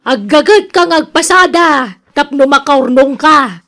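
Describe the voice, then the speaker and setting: An actress playing a domineering mother of a grown son, scolding and nagging loudly in radio drama style. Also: high-pitched, animated, unhurried. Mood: scolding, disapproving. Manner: loud, nagging.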